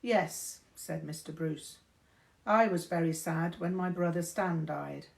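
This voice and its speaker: An older woman reading a memoir aloud in a measured, deliberate storytelling manner, indoors. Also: fairly low pitch, gravelly. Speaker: reader of a memoir. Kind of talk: audiobook-style narration of a memoir. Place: indoors, small room.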